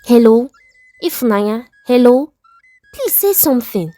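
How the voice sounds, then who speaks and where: A betrayed, childless Nigerian wife played by a woman storyteller protests and pleads in dramatic exclamations. Her pitch leaps about in a close, dry recording, with faint music behind her. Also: big pitch swings, measured pace, dark tone, no room sound. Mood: pleading.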